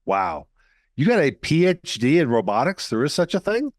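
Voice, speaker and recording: A man's voice: on the high side, huge pitch swings, normal speed, no echo, clean studio sound. A man hosting an interview show, talking in an enthusiastic, chatty way and often repeating words. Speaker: show host.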